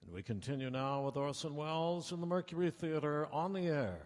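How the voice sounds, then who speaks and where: A man's voice: on the high side, a little fast, darkish tone. A man announcing a live radio drama in a 1930s broadcast style. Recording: echoey hall with music behind. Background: music.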